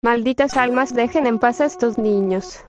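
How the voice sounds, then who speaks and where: A woman's voice: fairly high pitch, normal speed, close to an everyday voice. A synthetic text-to-speech woman's voice playing a weary, protective church nun in a fan-dubbed cartoon game. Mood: defiant. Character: weary, protective church nun fighting evil spirits, kind to children. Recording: muffled lo-fi audio over music. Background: game music.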